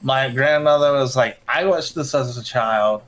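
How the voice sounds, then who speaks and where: A man's voice: mid-range voice, measured pace, a bit bright. A man chatting casually on a stream in a rambling, jokey way. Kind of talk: casual chat.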